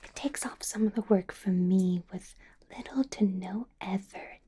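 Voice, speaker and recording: A woman voicing a possessive, doting vampire boss in a whispered, breathy ASMR roleplay, coaxing and quietly sinister. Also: mid-range voice, a little hiss or hum, lightly acted. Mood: coaxing, confiding. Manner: slow, coaxing, with small chuckles and pauses.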